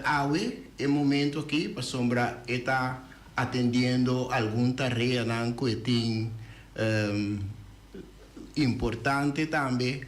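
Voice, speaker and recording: A man hosting a radio talk show, speaking slowly and hesitantly and switching between languages as he opens and interviews a guest. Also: medium pitch. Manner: slow, hesitant, mixes languages. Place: radio studio.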